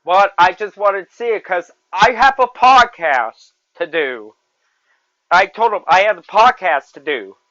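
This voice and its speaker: A man's voice: high-pitched, expressive, unhurried, pushed and bright, dry recording, muffled recording. A man in his twenties hosting a solo podcast, rambling and repeating himself with many fillers. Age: 20s. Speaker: podcast host. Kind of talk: solo movie review podcast.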